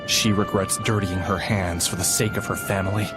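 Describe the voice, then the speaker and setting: An actor playing a gruff, brooding, hard-bitten fighter in a video game, blunt and terse. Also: male voice, low-pitched, steady tone, moderate pace, close to an everyday voice. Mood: grim, cold. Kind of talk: dramatic video game voice acting. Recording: loud music over the voice.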